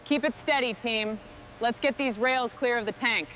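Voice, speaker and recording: A woman's voice: a little high, normal speed, a bit bright, a little hiss or hum, low-quality recording. A woman leading a workshop team, giving short, encouraging instructions and comments as the work goes. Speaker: team boss in a truck restoration workshop.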